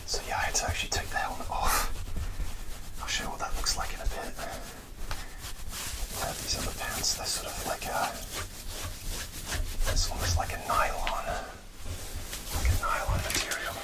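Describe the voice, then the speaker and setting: A man telling a story in a soft, rambling ASMR whisper with many pauses and hesitations, recorded close to the microphone. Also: low-quality recording. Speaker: ASMR creator.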